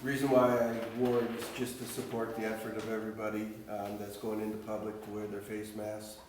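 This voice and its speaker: A soft-spoken man chairing a city council meeting as mayor, with a flat, procedural delivery. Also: lowish voice, brisk. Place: council chamber. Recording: echoey council chamber from far off, noisy.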